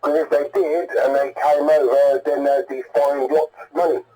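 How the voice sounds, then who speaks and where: A man's voice: on the high side, flat delivery, moderate pace, warm tone, slight background noise, low-quality recording. A British man heard over CB radio, chatting in a blunt, colloquial, rambling way as an enthusiast. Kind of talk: casual radio chat.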